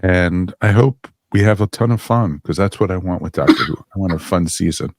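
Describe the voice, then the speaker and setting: A man's voice: low voice, brisk, dry recording, studio quality. A man hosting a casual podcast discussion, chatty and enthusiastic, often laughing. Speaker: podcast host.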